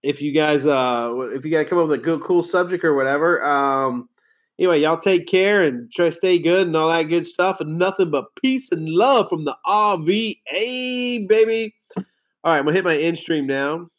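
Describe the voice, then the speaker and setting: A man hosting a casual livestream. He tells rambling stories, laughs and swears easily, and sounds hoarse from a head cold. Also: fairly high pitch, moderate pace. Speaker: car sales livestream host. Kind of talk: casual livestream chat with viewers. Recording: dull lo-fi stream audio.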